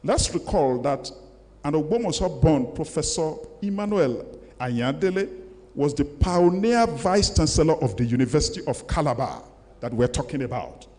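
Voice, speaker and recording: An older man with a Nigerian accent gives a formal public lecture, speaking deliberately and emphatically as he reads and reflects. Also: a little high, expressive, darkish tone. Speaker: guest lecturer. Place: large lecture hall, indoors. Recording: echoey hall with long reverb.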